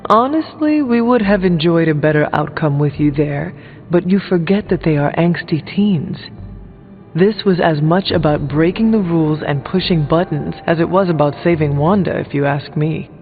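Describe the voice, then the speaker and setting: A diplomatic young warrior hero, played by a man, speaks in a measured, frank and lightly teasing way. The voice sits fairly high for a man. It comes through as thin game audio with music underneath. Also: phone-quality sound, natural voice. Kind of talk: video game character dialogue.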